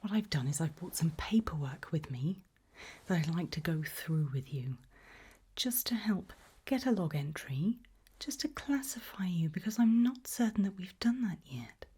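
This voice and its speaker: A woman playing a friendly, forgetful research scientist in an ASMR roleplay, speaking in a hesitant, polite, breathy whisper. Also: fairly low pitch, lively intonation, normal speed. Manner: hesitant, polite, soft-spoken.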